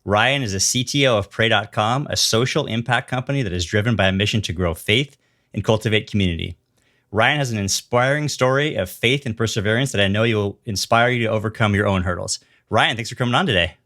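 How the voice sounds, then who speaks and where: Bright, forceful male podcast host. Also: on the low side, brisk. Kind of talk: conversational interviewing.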